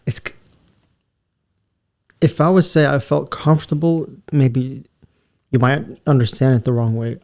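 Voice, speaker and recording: A man with a New York accent, co-hosting a podcast in casual chat and putting on other accents as he tells stories. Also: mid-range voice. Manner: animated storyteller, mimics accents, says bro. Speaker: podcast co-host.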